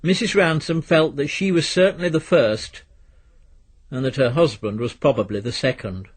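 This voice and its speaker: A man reading literary fiction as a narrator, in a measured, precise and dry storytelling manner. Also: on the high side, slightly rough. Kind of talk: scripted literary audiobook narration.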